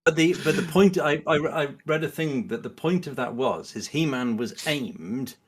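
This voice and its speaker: A man's voice: on the high side, animated, moderate pace, husky. A man speaking as a podcast guest in a casual, analytical discussion, often rephrasing himself as he thinks aloud.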